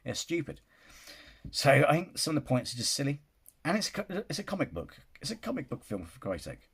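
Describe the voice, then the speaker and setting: Guy, husky voice, rambling film-reaction vlogger, casual. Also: fairly high pitch, huge pitch swings, fairly quick, fairly bright tone. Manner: rambling, restarts sentences, often says "you know". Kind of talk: casual opinion vlog.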